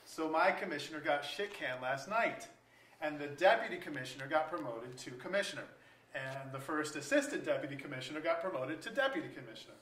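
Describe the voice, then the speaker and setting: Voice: medium pitch, animated, fairly quick, fairly bright tone, close to an everyday voice. An actor playing a jokey, rambling middle-aged bureaucrat in a staged comedy reading. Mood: jokey, rueful. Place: museum auditorium stage. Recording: roomy, distant mic, faint noise.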